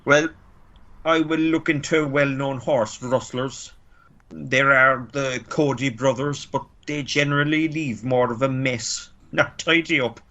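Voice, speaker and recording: A man's voice: mid-range voice, steady tone, measured pace, sharp tone, muffled recording. A man with an Irish accent playing a complacent, dismissive small-town police officer in an amateur radio play. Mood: doubtful. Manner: placating, hesitant, officious.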